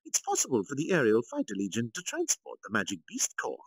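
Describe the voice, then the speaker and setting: A man's voice: mid-range voice, huge pitch swings, brisk, slightly rough, dry recording, voice pushed up. A man voicing a scheming, self-important military commander in a grandiose, theatrical manner for a story reading. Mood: pompous, assured.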